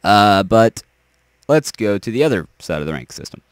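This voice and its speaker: A young man losing his voice, giving a rambling, halting explanation of server ranks with lots of fillers and restarts. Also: fairly low pitch, very animated, clean tone, dry recording, studio quality. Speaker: server owner.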